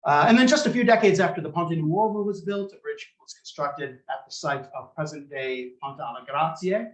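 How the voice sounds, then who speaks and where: A man with a loud voice giving a public lecture, conversational and precise, speaking into a handheld microphone. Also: fairly high pitch, normal speed. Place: lecture room. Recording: echoey room.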